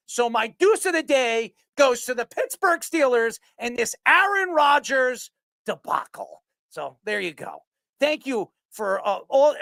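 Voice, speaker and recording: Creaky-voiced, emphatic sports talk host. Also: mid-range voice, a bit bright, no room sound. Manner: emphatic, declamatory. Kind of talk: opinionated sports commentary.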